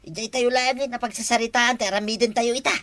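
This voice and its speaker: A woman's voice: on the high side, steady tone, quick pace, a bit bright, natural voice. A woman with an Ilocano accent playing a scheming village gambler in a comedy drama.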